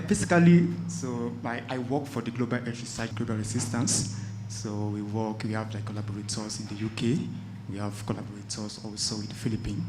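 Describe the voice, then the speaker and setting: Man, lowish pitch, chatty intro; roomy, noisy audio. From Nigeria. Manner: starts phrases with "so". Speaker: data scientist. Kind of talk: self-introduction. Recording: echoey room with background noise.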